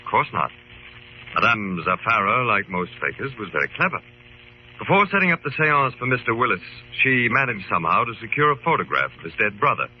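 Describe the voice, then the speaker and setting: An actor playing a calm, authoritative club leader, formal and measured, in old-time radio drama style. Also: male voice, fairly low pitch. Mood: authoritative, explaining. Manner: formal, measured, clearly enunciated. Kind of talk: 1940s-50s radio drama acting and narration. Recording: muffled old radio broadcast with hiss.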